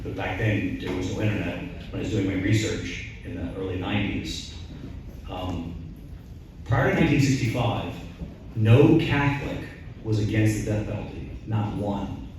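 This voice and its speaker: A man's voice: lowish voice, normal speed, background noise. A middle-aged priest answers audience questions at a talk. He is emphatic, asks rhetorical questions and often restarts. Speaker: priest and guest speaker. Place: large echoey church hall. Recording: very echoey hall, voice from far off.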